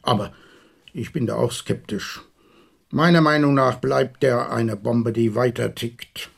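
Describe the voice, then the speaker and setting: A man's voice: mid-range voice, fairly even intonation, measured pace, creaky, husky, full character voice. A man acting in a radio play as an old, stubborn, distrustful factory security veteran, guarded and terse. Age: older.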